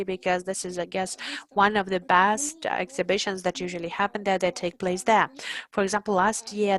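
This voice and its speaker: A woman from Ukraine, an artist and designer giving a prepared talk to an audience. She speaks earnestly and pauses to search for words. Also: medium pitch.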